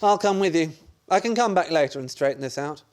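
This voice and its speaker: A man's voice: fairly high pitch, animated, brisk, smooth, natural voice. A man acting an exasperated, scheming husband in a radio play farce, with a blustering, theatrical delivery. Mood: confident, scheming.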